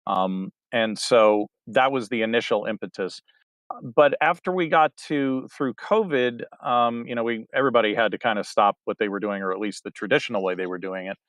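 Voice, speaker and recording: A middle-aged man giving a rambling, halting interview answer, full of fillers and restarts. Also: lowish voice, fairly bright tone, dry recording. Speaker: interview guest, theater director. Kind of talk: casual interview talk.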